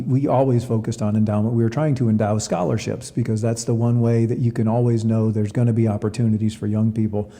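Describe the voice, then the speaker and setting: Male interviewee, sports administrator type, earnest and explanatory. Very soft, dark tone, recorded with long hall reverb. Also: medium pitch. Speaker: athletics director being interviewed. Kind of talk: reflective interview answers.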